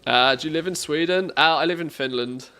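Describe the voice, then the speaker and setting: A man's voice: a little high, fairly quick, bright, forceful tone. A man with an English accent chatting casually as a game livestreamer, in a jokey, self-deprecating way. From England, born in the northwest, lived mostly in the Midlands.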